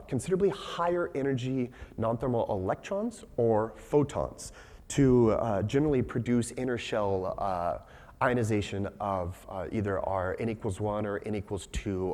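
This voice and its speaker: A young man gives a scientific talk, his voice hoarse and raspy as he has been losing it. He speaks in a measured way with frequent fillers. The sound carries the long reverb of a lecture hall. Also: medium pitch, a little mellow. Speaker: PhD candidate presenter.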